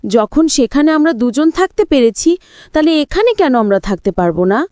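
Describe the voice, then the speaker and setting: A woman's voice: high voice, big pitch swings, normal speed, darkish tone, no room sound. A woman voicing a defensive young adult daughter who reasons insistently with her mother in a narrated story.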